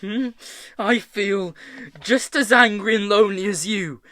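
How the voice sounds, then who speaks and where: Indignant, loyal teenage boy, played by a male narrator: raised pitch, impassioned shouting, storybook adventure. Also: unhurried, no echo, studio quality. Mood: angry, hurt. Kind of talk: audiobook character acting.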